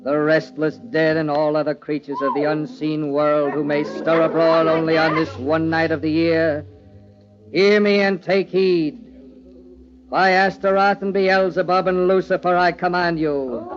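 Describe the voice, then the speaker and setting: A man's voice: on the high side, little pitch movement, unhurried, over music, close to an everyday voice. An actor playing an anxious, bossy young man hiding guilt, theatrical and insistent in old radio horror style. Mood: solemn incantation. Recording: muffled old radio, crowd behind.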